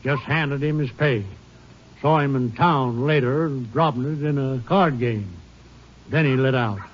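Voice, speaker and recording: Actor as folksy, wary rancher. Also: male voice, mid-range voice, a little slow, lightly acted. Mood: folksy, unsure. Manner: hesitant, colloquial. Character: folksy, wary male Texas ranch owner. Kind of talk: 1950s western radio drama acting. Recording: muffled, noisy vintage radio recording.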